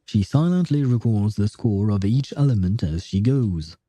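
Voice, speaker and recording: A man reading a game's story aloud as a streamer, with measured narration and casual reactions. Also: lowish voice, expressive, dark tone.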